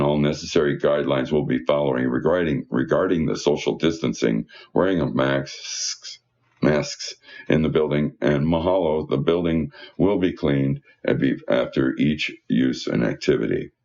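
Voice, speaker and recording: A man's voice: a bass voice, moderate pace, darkish tone, muffled recording. A man reading church newsletter announcements aloud in a folksy, conversational way with informal asides.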